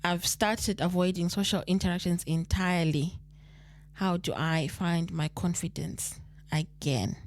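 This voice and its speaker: Zimbabwean woman, podcast co-host, lowish voice, laid-back chat with code-switching. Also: a little slow. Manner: relaxed, repeats phrases, mixes Shona into English. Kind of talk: casual chat, reading listener letters.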